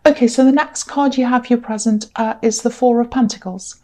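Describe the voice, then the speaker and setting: A woman with a croaky, hoarse voice giving a casual tarot reading, rambling and reassuring with many ums and restarts. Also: a little high, steady tone, a little fast, warm tone. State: hoarse, voice going. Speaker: tarot reader.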